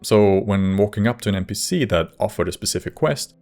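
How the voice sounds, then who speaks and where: A man with a low voice narrates a scripted video essay as its host. Music plays clearly under his voice. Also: animated, fairly quick, darkish tone.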